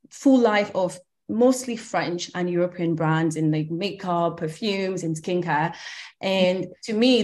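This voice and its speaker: A woman from Cameroon in her thirties speaks as a guest founder in a relaxed interview. Her voice sits a little low, and she talks in a reflective way, with frequent ums and small laughs. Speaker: skincare company founder, guest. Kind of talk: conversational interview.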